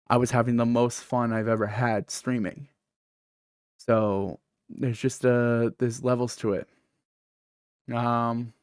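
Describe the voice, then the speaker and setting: A man's voice: medium pitch, no room sound, studio quality. A man with a lisp who says th as f, hosting a casual solo podcast in a candid, self-deprecating, rambling way. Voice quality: th pronounced as f. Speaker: podcast host and streamer.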